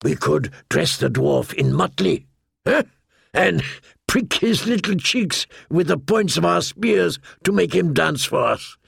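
A man's voice: a little high, lively intonation, measured pace, vocal fry, fairly bright tone, breathy edge, no echo, studio quality, voice pushed up, full character voice. A man voicing a boastful, lewd, wheedling pirate captain in an audiobook, with a theatrical, jovial manner and questioning tags. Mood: jovial, scornful. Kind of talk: audiobook character voice.

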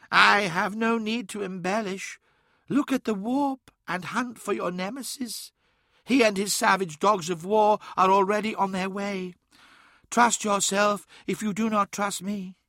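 An ancient, boastful demon god speaks in a strikingly high, bright male voice. It is grandiose and commanding, taunting its listener. The sound is close and dry. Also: a little slow, no echo. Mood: tempting, scornful.

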